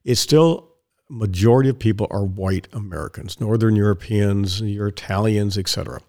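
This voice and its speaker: A man with a fairly low, hoarse, raspy voice holds forth on his own podcast. He talks in a rambling, digressive monologue, circling back and repeating himself as he goes. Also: moderate pace, darkish tone. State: hoarse from strained vocal cords. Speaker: podcast host.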